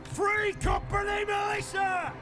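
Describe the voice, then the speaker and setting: An actor voicing a militia soldier calling out battle lines in a fantasy video game. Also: male voice, extremely high pitch, little pitch movement, takes it slowly, shouting, music in the background. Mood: martial shouting. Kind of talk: scripted video game unit barks.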